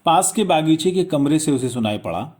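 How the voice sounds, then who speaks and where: A man narrating a literary story expressively, with measured, dramatic phrasing and pauses. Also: a little high, expressive, a little mellow.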